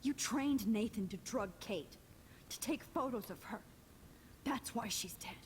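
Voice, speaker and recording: An actress playing a defiant, distraught teenage girl held captive in a video game, speaking in an intense, hushed, accusing way. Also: on the high side, animated, measured pace, a little hiss or hum. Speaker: heroine in a story video game. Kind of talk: dramatic video game acting.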